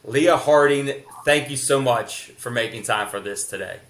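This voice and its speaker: A man's voice: mid-range voice, moderate pace, a bit bright. Kentucky guy hosting a podcast, rambly questions, echoey room. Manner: rambling, filler-heavy questions. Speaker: podcast host. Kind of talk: casual interview.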